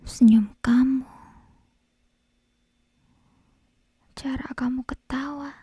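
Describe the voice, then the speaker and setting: A woman playing an obsessive, possessive, lovesick kidnapper girl in an ASMR roleplay, whispering breathily and menacingly close to the microphone with lingering pauses. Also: high voice, mellow, breathy edge, no room sound. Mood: obsessive, adoring. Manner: slow, lingering phrases broken by pauses. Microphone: close binaural microphone.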